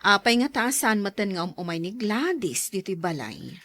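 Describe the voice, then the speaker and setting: An actress playing an outspoken, caring sister in an Ilocano radio drama. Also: female voice, mid-range voice, very animated, normal speed, fairly bright tone. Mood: teasing, protesting. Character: outspoken, caring sister who scolds her brother.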